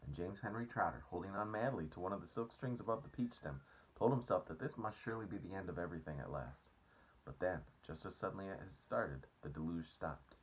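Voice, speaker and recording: A man with a deep voice reads a children's story aloud. His tone is husky, and his delivery is that of an expressive storyteller. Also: fast talker, poor audio.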